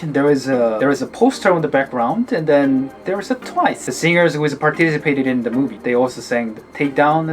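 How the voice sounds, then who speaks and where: A man from South Korea with a Korean accent, a native Korean speaker, gives casual explanatory commentary as a video host, restarting his sentences often. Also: a little high. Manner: explanatory, with frequent restarts and filler words. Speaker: language teacher and video host. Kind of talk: casual commentary over film clips. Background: background music.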